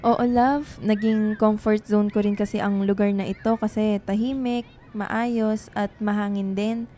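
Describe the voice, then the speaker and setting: A woman's voice: medium pitch, flat delivery, measured pace, dark tone, a little hiss or hum, natural voice. A woman playing an earnest, tender young woman writer in love, in a radio drama. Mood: affectionate.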